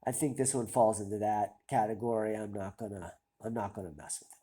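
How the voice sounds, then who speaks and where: A man's voice: on the low side, steady tone, brisk, mellow, slightly rough. A man with a nasal voice and a stuffy nose from a cold, hosting a stock-picking channel and thinking aloud in a hesitant, self-deprecating ramble. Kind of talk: casual thinking-aloud commentary.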